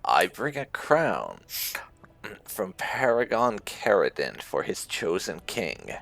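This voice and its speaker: Guy doing chill game playthrough commentary, low gravelly voice, thinks out loud. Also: huge pitch swings, takes it slowly. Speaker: gaming host, player. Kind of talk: casual roleplay playthrough commentary.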